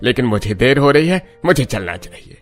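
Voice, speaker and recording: A man's voice: mid-range voice, sing-song, normal speed, lightly acted. A man playing a proud, polite older farmer and father-in-law in a cartoon story, speaking with assurance. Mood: calm. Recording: music behind the voice.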